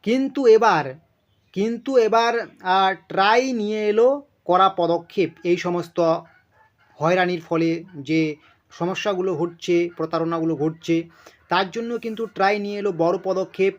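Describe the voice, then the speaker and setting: A man's voice: sits high, fairly even intonation, measured pace, pauses often. A man presents an informal news explainer, speaking emphatically, repeating himself and checking in with his listeners. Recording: dull lo-fi sound.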